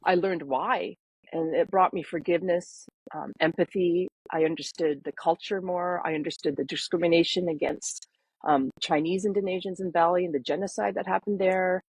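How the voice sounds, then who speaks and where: Soft-spoken husky woman in her fifties. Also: lowish voice, steady tone, normal speed, low-quality recording. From Canada. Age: 50s. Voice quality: soft and gentle. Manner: earnest, reflective, many ums. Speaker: guest, parenting coach. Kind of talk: podcast interview, personal story.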